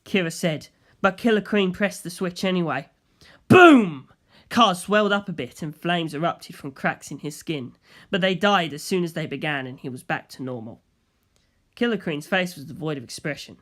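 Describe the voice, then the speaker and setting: A narrator reading a fantasy story aloud in a scripted storytelling style, at a medium pitch. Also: expressive, moderate pace, a bit bright. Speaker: audiobook narrator.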